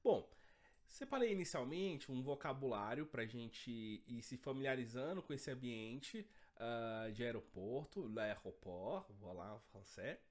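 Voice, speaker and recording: A man with a cold, his voice a bit hoarse, teaching an online French lesson and often checking in with his students. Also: mid-range voice, expressive, a little slow.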